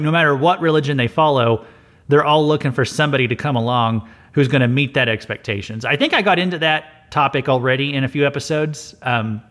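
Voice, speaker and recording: A man's voice: on the high side, a bit bright. Earnest male podcast host answering mail. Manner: earnest, hesitant, many fillers and restarts. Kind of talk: casual mailbag commentary.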